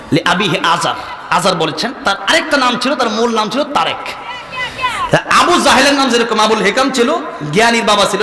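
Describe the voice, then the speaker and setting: A man with a Bangladeshi accent preaching an Islamic sermon, forcefully exhorting listeners with repeated rhetorical questions. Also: very high-pitched. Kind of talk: impassioned waz sermon with call-and-response questions and Arabic recitation. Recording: echoing PA with long reverb.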